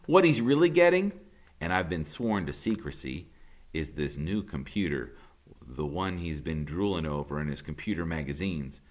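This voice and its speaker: A man, a teacher, reading a novel aloud in the first person with an expressive, conversational delivery. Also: extremely low pitch, normal speed. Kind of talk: audiobook narration in first person.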